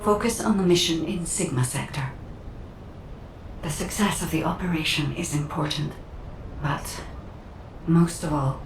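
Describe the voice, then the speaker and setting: An actress playing a serene, gently instructive AI guide in a video game, soft, measured and formal. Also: female voice. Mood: calm, reassuring. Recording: echoey, distant, faint rumble behind.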